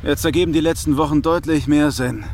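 A man's voice: a little high, steady tone, fairly quick, creaky, darkish tone, slight background noise. Earnest, exasperated male ship's doctor. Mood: stunned. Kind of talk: audio drama acting.

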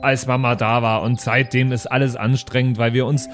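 A man's voice: mid-range voice, little pitch movement, normal speed, faint music behind, lightly acted. A man playing a fretful, naive dwarf brother in improvised tabletop role-play, chatty, fussy and whining. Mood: plaintive.

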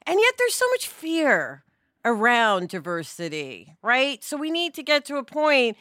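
A woman with an American accent hosts a conversational podcast. Her voice is bright and somewhat high, with a dry close sound. She speaks emphatically and encouragingly, checking in as she goes. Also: huge pitch swings, unhurried, dry recording. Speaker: podcast host. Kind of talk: animated conversational discussion.

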